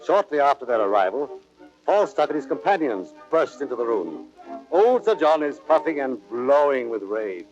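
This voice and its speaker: A male narrator reads scripted drama narration with very lively intonation at a middling pitch. The old recording is dull, and music plays behind him. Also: moderate pace.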